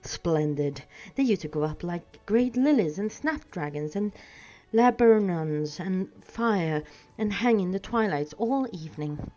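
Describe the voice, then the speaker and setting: Woman acting out fussy little hobbit gentleman, polite, excitable homebody, storybook fantasy, mellow tone, music underneath. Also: on the low side, measured pace. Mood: excited. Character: plain, fussy male hobbit homebody, polite but flustered. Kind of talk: storybook character reading. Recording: dull sound with music behind.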